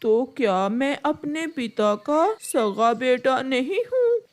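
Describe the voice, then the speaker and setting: Woman as meek young cartoon crow son, troubled and hesitant, high voice, slow talking. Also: big pitch swings, a little mellow, heavily acted. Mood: shocked, upset. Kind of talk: Hindi cartoon story acting.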